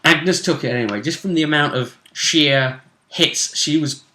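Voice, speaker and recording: A man hosting a casual chat about a tabletop role-play game, telling it with emphatic, drawn-out phrasing. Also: fairly high pitch, pushed and bright. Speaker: host. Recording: roomy, echoey room sound.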